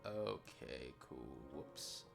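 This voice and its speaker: A man's voice: a bass voice, lively intonation, very slow. A man chatting casually as he follows instructions, tentative and often checking what to do next. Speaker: lead writer learning the software. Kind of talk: casual back-and-forth while following instructions. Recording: clear music behind the voice.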